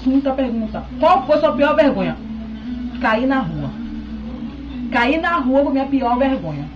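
Woman in her thirties, high voice, casual vlog chat, lo-fi webcam audio with music under it. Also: animated, measured pace. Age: 30s. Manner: chatty, keeps checking in with "tá?". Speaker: beauty vlogger. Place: indoors at home, small room. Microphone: webcam close up.

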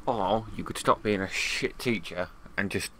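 A young man with a hoarse voice, giving chatty, wry let's play commentary and swearing now and then. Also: fairly low pitch, unhurried, fairly bright tone, a little hiss or hum, rough recording. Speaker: gaming YouTuber.

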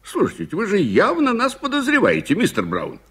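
An actor in a Soviet radio play playing an irritable, impatient middle-aged gentleman, brusque and commanding. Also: high voice, huge pitch swings, moderate pace, breathy edge, heavily acted. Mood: irritated, impatient. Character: irritable, impatient gentleman suspect, uncle of a nephew.

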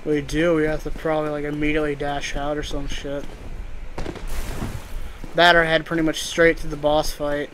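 Higher-voiced man gaming over background noise. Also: completely flat delivery, normal speed, pauses often, fairly bright tone. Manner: sweary, informal. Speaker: gamer in a co-op video game session. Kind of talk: casual gaming chat with a friend. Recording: clearly noisy home game recording.

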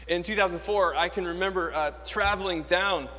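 A man's voice: high-pitched, normal speed, pushed and bright. An American man from Florida, an Anglican priest, preaching a sermon emphatically with rhetorical questions and repeated key phrases. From Florida, United States. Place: large church interior, speaking from a lectern. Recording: echoey church with long reverb.

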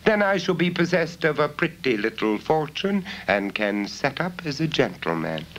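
A man's voice: fairly high pitch, big pitch swings, normal speed, fairly bright tone, slight background noise, voice pushed up. A man voicing a conceited, greedy young valet with a preening, haughty manner in a storybook reading. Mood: smug scheming.

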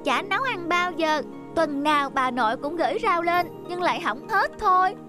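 A performer playing a cheerful young child in an animated family moral tale. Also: extremely high pitch, normal speed. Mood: cheerful, chatty. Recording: music behind.

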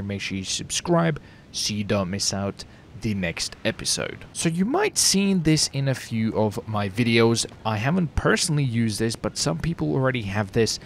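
A man's voice: on the low side, creaky, fairly bright tone, a little hiss or hum. A man giving casual, slangy gameplay commentary as a gaming video host, hyping things up with exclamations.

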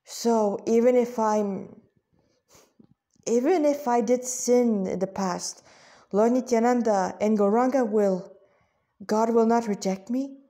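A woman's voice: a little high, takes it slowly, pauses often, dark tone. A woman voicing a shy, hopeful young man in a story, hesitant and timid.

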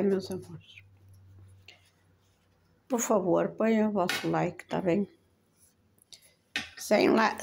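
Older woman, European Portuguese accent, unhurried, gravelly fry, affectionate rambling vlog chat, phone recording, light dish clinking. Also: mid-range voice. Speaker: home vlogger. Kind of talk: casual chat to viewers at the table. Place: home dining room, indoors. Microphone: handheld phone. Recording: handheld phone, clinking cutlery noise. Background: cutlery and dishes clinking.

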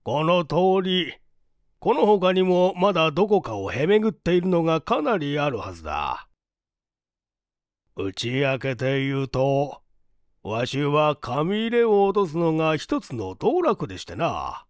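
A narrator doing a slow, self-satisfied older gentleman. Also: mid-range voice, sing-song, dry recording, studio quality. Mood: pleased, self-satisfied. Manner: leisurely, pompous, old-fashioned speech. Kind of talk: dramatized literary reading.

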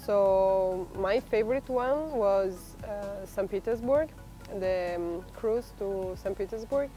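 A woman's voice: mid-range voice, takes it slowly, mellow, soft background music. A young woman with an Italian accent, speaking hesitantly with many fillers in a documentary interview, recorded outdoors in a park. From Italy. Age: young adult. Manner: hesitant, many fillers, laughs while talking. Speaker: exchange student. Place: outdoor park, sitting under a tree.

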